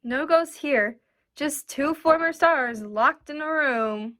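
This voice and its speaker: Woman acting a wisecracking studio worker, take-charge, high bright voice, radio drama. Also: slow, exaggerated voice. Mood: brisk, wry.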